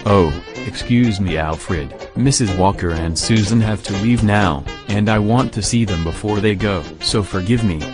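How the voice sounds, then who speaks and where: An actor playing a warm, earnest, gently excited old man who claims to be Santa Claus, in a radio play. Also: fairly low pitch, normal speed, few pauses, music in the background, close to an everyday voice. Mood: surprised, cheerful.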